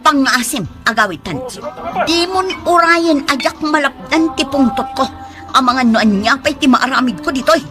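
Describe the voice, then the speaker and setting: A woman's voice: high-pitched, animated, normal speed, over music. An actress playing a hurt, jealous young girlfriend in a Filipino radio drama, with a Filipino accent and an emotional, pleading or scolding delivery. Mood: jealous fury. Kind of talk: Philippine radio drama acting.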